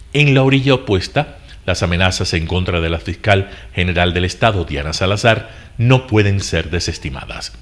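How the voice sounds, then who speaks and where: Guy with a lowish voice reading a serious news opinion piece, formal and measured. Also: a bit bright. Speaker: news commentator. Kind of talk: scripted editorial commentary.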